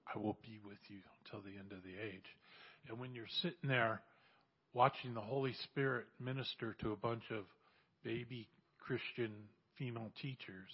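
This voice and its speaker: A man in his sixties giving an animated church testimony, exhorting and repeating phrases for emphasis. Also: medium pitch, husky, dull audio. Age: 60s. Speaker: church speaker sharing mission testimony. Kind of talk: sermon testimony.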